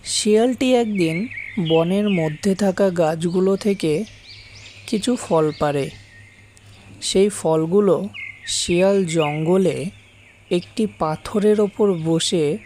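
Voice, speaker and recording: A woman's voice: lowish voice, takes it slowly, pauses often, dark tone, slight background noise. A woman narrating a scripted children's fable as the storyteller.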